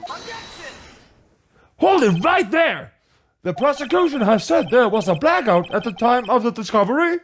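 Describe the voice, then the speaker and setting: A man's voice: very high voice, very animated, moderate pace, long pauses, fairly bright tone, faint music behind, pitched above the performer's own voice, full character voice. A man voicing a brash, dramatic young male defense attorney, exclaiming theatrically in a game reading. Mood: triumphant.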